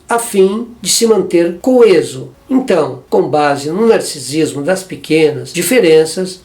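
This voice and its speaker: A narrator with a Brazilian Portuguese accent delivers a scripted lesson at a steady, measured pace, explaining each point carefully. The voice sits at an ordinary middle pitch and stays plain and unhurried, breaking off now and then for a brief aside. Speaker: psychoanalysis channel presenter.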